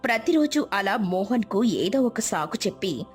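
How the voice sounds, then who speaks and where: A woman's voice: medium pitch, sing-song, moderate pace, soft background music. A woman narrating a scripted moral story as a storyteller.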